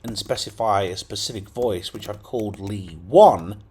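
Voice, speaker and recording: A man's voice: on the low side, moderate pace, darkish tone, slight background noise. A man hosting a casual computer tutorial, talking through steps with frequent fillers and checks like "okay?". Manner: chatty, lots of fillers and okays. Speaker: tech tutorial host. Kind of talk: casual screen-recorded tutorial.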